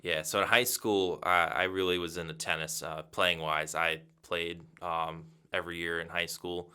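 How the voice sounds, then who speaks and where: Young male sports broadcaster from Massachusetts, very low, bright and crisp voice, measured answers. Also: little pitch movement. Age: 20s. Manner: measured and thoughtful, frequent "um" and "you know". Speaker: radio play-by-play broadcaster. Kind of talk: interview answers.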